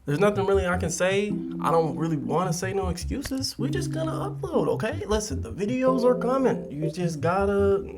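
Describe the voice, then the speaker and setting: A man's voice: sits high, sing-song, moderate pace, hardly any pauses. A man chatting casually and playfully to viewers as a podcast host, in a slangy, rambling way. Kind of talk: casual comedic chat to viewers. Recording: music playing behind the voice. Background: music.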